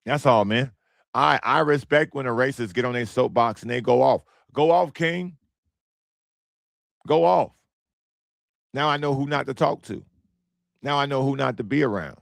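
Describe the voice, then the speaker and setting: A man hosting his own podcast in casual, blunt commentary, repeating himself, with a hoarse, strained voice as he loses it. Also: medium pitch, normal speed, pauses often, dry recording, studio quality. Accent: American. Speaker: podcast host.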